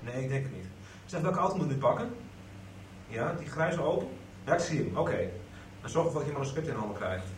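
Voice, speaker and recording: A man's voice: medium pitch, animated, fast, pauses often, reverberant, far from the mic, very noisy, close to an everyday voice. An actor playing a nervous, hesitant man in a radio drama, stammering slightly. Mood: furtive. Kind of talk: 1990s radio drama acting.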